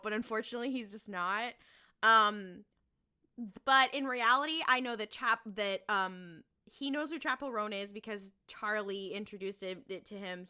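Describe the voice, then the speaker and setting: A woman's voice: medium pitch, bright, forceful tone, clean tone. A young adult woman chatting casually and comedically as a podcast panelist.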